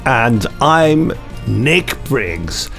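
A man's voice: mid-range voice, sing-song, extremely slow, creaky, a bit bright. A British man with a bit of a cold, co-hosting a podcast in casual, dryly self-deprecating banter. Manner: self-deprecating, dry humour. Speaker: podcast co-host. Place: garden shed, door open. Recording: music bed under the voice.

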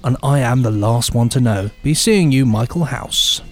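A man with a medium-pitched voice co-hosts a podcast. He moves between reading listener emails aloud and casual, joking chat, sometimes halting as he improvises. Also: expressive. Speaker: podcast co-host.

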